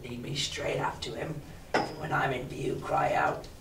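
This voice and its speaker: A man's voice: very high-pitched, animated, slow pace, pitched above the performer's own voice, exaggerated voice. Old blind beggar villain, acted by a man, cruel and wheedling, for a storybook; distant, echoey recording. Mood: cruel, commanding. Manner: odd sing-song wheedle that turns to hard commands. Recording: roomy, distant mic with background noise.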